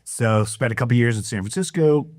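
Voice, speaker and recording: A man's voice: mid-range voice, brisk, darkish tone, no echo, clean studio sound. A man in his fifties from Massachusetts with a Massachusetts accent, telling stories as a podcast guest with restarts and playful teasing. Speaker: podcast guest, property management owner. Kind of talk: casual interview chat.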